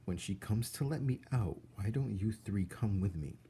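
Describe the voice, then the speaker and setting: A man's voice: lowish voice, animated, moderate pace, dark tone, a little hiss or hum. A man voicing a brave, stubborn, curious young girl in a storybook, plain-spoken and questioning. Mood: determined.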